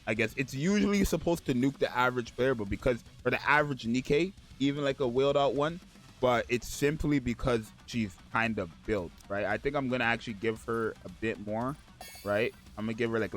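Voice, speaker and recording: Man, slightly raspy, freshly woken, casual game commentary, mid-pitched, faint music behind. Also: a bit bright. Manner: rambling, keeps asking "right?". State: just woke up. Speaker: gaming video host.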